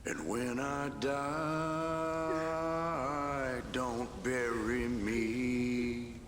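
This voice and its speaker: A man sings in a mournful cowboy folk style. The delivery is extremely slow and mid-pitched, with clear ambient noise behind it. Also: steady tone. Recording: clearly noisy ambient background.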